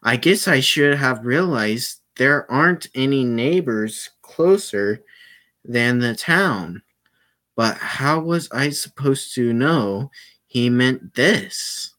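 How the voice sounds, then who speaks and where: This is a game streamer with a bright, pushy edge to a mid-pitched voice. The delivery is slow and informal, loose with swearing, moving between chat to viewers and reading game dialogue out loud. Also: animated. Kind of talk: casual live stream, reading visual novel dialogue aloud.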